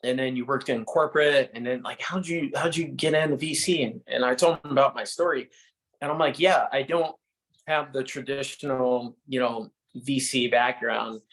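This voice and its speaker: A man's voice: medium pitch, steady tone, moderate pace, fairly bright tone, poor audio. A man who works as a venture capitalist, giving casual, filler-heavy advice to founders. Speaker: venture capitalist, panel speaker.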